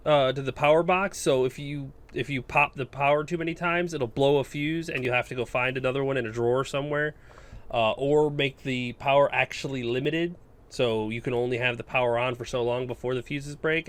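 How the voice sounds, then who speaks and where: A man speaks in a casual, conversational way, as a co-host would on a gaming podcast. His pitch sits in an ordinary middle range for a man. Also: moderate pace, a bit bright.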